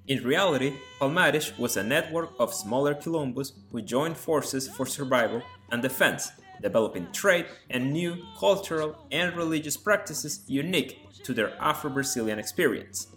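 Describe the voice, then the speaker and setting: Man narrating in a measured tone. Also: mid-range voice, animated, a bit bright, faint music behind. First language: Spanish. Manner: measured, explanatory lecture tone. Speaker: podcast host explaining song lyrics. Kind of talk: scripted narration.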